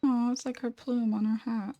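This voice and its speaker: A woman giving cozy, quiet, casual commentary as she plays a game, soft-spoken and informal. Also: on the high side, level tone, normal speed, highs cut off. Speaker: streamer, gameplay commentator.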